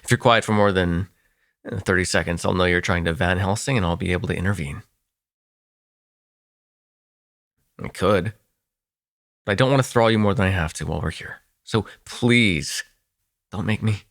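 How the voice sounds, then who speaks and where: A man playing a protective, sardonic male vampire in an ASMR audio roleplay, talking intimately and haltingly, with swearing and sighs. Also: low voice, creaky, fairly bright tone. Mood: shaken, pleading.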